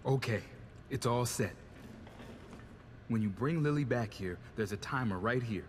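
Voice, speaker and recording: An actor playing a plain-spoken, resigned but determined American technician ally in a video game, casual and matter-of-fact. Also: male voice, medium pitch, animated, a little slow, slight background noise, lightly acted. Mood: resolved. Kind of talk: video game cutscene acting.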